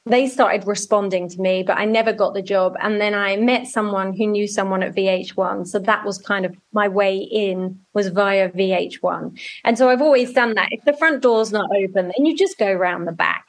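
A woman from Norwich, chatting as a guest in an interview over a video call, telling stories and often correcting herself. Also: medium pitch. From Norwich, England. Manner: chatty, self-correcting, animated storytelling. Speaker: guest, music media professional.

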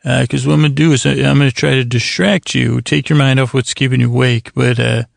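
A man hosting a bedtime podcast in a creaky, gravelly voice, rambling and halting in a self-deprecating way to lull listeners to sleep. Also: mid-range voice, fairly even intonation, no echo, studio quality. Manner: meandering, halting, self-deprecating, with pauses and trailing repetitions. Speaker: podcast host.

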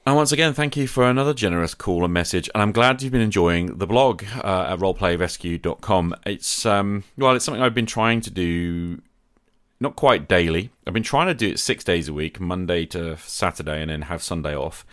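A man's voice: low-pitched, fairly quick, a bit bright, dry recording, clean studio sound. A man hosting a podcast, talking conversationally in an earnest, reflective manner. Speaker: podcast host.

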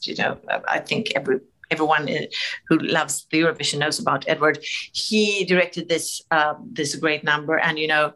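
A middle-aged Swedish woman with a Swedish accent, telling animated stories in a casual interview, laughing often and full of fillers and restarts. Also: lowish voice, poor audio. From Sweden. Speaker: TV host, interview guest.

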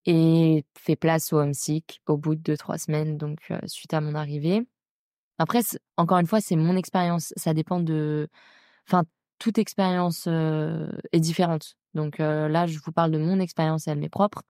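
A young woman with a French accent from France speaks in a low, soft-edged, relaxed voice. The sound is close and dry. She chats informally as a podcast host, using slang and talking directly to the listener. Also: moderate pace, dry recording. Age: young adult. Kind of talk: casual solo chat about personal experience.

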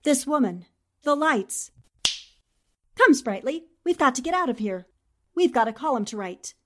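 An actress as a shrewd woman reporter in an old-time radio mystery. Her voice is fairly high, and she probes with formal, determined questions. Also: lively intonation, unhurried. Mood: urgent. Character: determined, shrewd newspaperwoman sleuth, cool and probing. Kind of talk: 1940s radio drama acting.

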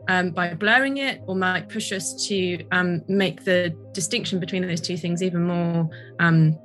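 A woman with a slightly low voice leads a thoughtful interview. She feels her way through questions in a tentative, exploratory manner, with faint music in the background. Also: unhurried, fairly bright tone, rough recording.

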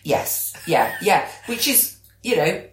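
A British woman talks casually in a slightly low voice, as a podcast co-host would. She is chatty and explanatory, and the recording is noticeably roomy and echoey. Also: very animated, slow pace, a little hiss or hum. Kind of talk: casual chat.

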